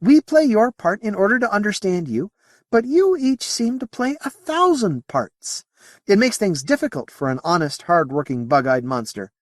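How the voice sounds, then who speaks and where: Female alien mimic played by a man, high and animated, chatty, candid, teasing, science fiction storybook. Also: normal speed, dry recording, clean studio sound. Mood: frank, wry.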